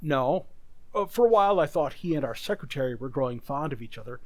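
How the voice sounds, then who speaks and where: An actor playing an anxious, tormented businessman in a radio thriller, hesitant and halting but emphatic. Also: mid-range voice, expressive, darkish tone, no echo, lightly acted. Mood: defensive, uneasy. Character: anxious, tormented businessman confessing dark urges. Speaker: community theater actor. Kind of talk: old-time radio thriller acting.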